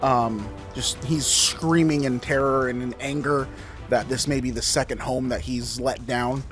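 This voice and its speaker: Male game player, medium pitch, casual roleplay delivery, audible music bed underneath. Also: fairly even intonation, a little slow.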